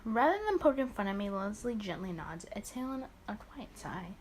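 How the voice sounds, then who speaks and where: Woman, mid-pitched voice, expressive game read-aloud, muttered asides, self-corrections, faint background noise. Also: moderate pace. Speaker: gaming video host reading a visual novel aloud. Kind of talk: let's play read-aloud with casual asides.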